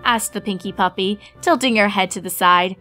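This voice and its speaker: A woman's voice: mid-range voice, moderate pace, pushed and bright. Woman narrating a story over music.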